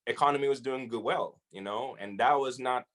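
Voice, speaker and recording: A man's voice: mid-range voice, brisk, fairly bright tone. A man, a hip hop artist raised in the Philippines, Saudi Arabia and Syria, talking casually as a guest with slang and some Arabic phrases. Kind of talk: casual interview chat.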